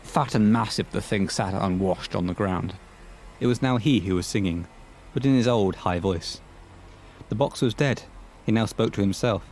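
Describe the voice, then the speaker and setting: Low-voiced man narrating a horror tale. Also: darkish tone, slight background noise. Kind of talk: scripted horror story narration.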